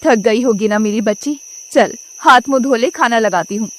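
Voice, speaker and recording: A caring mother in a cartoon tale, played by a woman. Her voice is high and her tone stays gentle and motherly. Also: moderate pace, a little hiss or hum, natural voice. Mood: tender. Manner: tender, motherly. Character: caring mother of a grown daughter.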